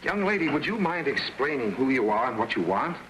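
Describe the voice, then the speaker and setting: Prim young executive, formal and clipped, swooping pitch, hissy soundtrack. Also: male voice, sing-song, a little fast, fairly bright tone, rough recording. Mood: stiff. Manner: clipped and formal, rising exasperation. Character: stiff, proper young businessman. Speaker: head of a brokerage firm. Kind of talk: 1940s film acting. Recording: hissy 1940s film soundtrack.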